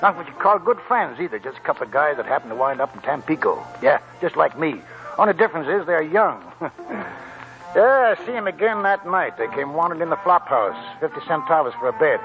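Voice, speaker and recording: An actor playing a talkative, wry old gold prospector in a 1940s radio drama, raspy and folksy, rambling like a storyteller. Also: male voice, fairly high pitch, expressive, fairly quick. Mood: wry reminiscing. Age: older. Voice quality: chuckling, raspy old man's voice. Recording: muffled 1940s radio broadcast with music.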